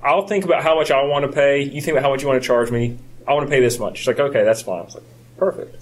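Male co-host, fast conversational delivery in an average register. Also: mid-range voice. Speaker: podcast co-host. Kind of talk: casual chat.

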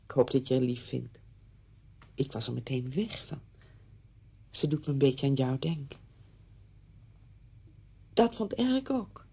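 A woman's voice: medium pitch, a little mellow, husky, dull audio. An actress playing a chatty, apologetic married woman visiting a friend in a radio drama, effusive, rambling and flustered.

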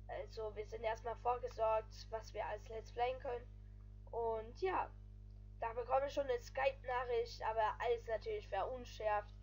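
A woman talks over her gameplay video in an easygoing, rambling way, tying thoughts together with filler phrases. Her voice sits a little high. Soft music plays quietly underneath. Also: low-quality recording. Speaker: gaming video creator. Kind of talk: casual voice-over commentary.

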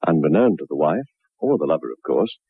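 Grave male narrator for a macabre 1960s radio tale: deep, measured, dry narrow-band sound. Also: big pitch swings, gravelly, husky, no echo, full character voice. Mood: grave, ominous. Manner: measured, ominous storytelling. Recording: muffled narrow-band old radio recording.

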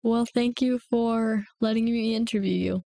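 A woman's voice: on the high side, steady tone, measured pace, creaky, dark tone. A young woman hosting an interview podcast, speaking as the interviewer. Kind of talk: interview questions and scripted outro.

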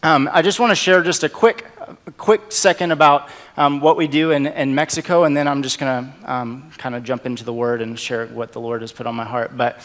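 A young man speaking as a guest missionary at a church, halting and full of ums and restarts. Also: medium pitch, fairly even intonation, a bit bright. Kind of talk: church sermon introduction. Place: church sanctuary. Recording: long reverb of a church hall.

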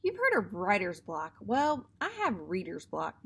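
A woman's voice: mid-range voice, wildly swooping pitch, measured pace, vocal fry, a bit bright, husky, full character voice. A woman voicing a dramatic, pleading young schoolboy from a children's storybook. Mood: glum. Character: reluctant young schoolboy, dramatic, absorbed in books.

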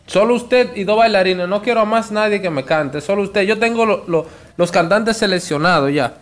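High-pitched young Dominican man, pleading. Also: normal speed. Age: 20s. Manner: pleading, insistent. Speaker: prank caller. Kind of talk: prank phone call, posing as a show promoter.

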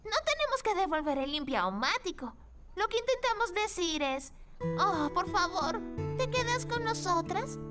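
A sweet little cartoon girl speaks in a tiny, very high voice. She is earnest and supportive, with lively, emphatic swings. Music plays behind her. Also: a little slow, heavily acted. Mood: warm, reassuring. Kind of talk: animated children's series dub.

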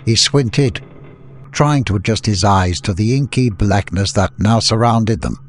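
A man's voice: fairly low pitch, unhurried. A man reading scripted fantasy story narration as an audiobook narrator.

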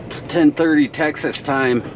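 A man's voice: a little high, fairly even intonation, measured pace. A man, a truck driver, thinking aloud in short casual remarks while driving, recorded on a camera in his cab. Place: inside a truck cab on the road. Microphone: dash-mounted camera. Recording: dull lo-fi sound with loud road noise. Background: engine and road noise.

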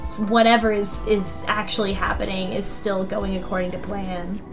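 A woman co-hosting a casual book club discussion, retelling things in an animated, conversational way. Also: medium pitch, moderate pace, creaky, rough recording. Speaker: podcast co-host. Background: music behind the voice.

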